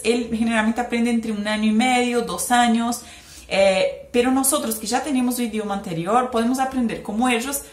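A young woman from Brazil, speaking with a Brazilian Portuguese accent, explains language-learning tips in a chatty, casual vlog with many "eh" fillers. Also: medium pitch. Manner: chatty, explains with hand gestures, frequent "eh" fillers. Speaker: language vlogger. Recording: echoey room.

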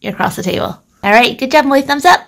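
A woman's voice: high voice, expressive, fast, a bit bright. A woman chatting casually with her child, gushing and encouraging as a mother does, recorded at home on a camera mic. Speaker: mother filming her child's puppet show. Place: room at home, indoors. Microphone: camera mic, speaker behind the camera. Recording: home video with background noise.

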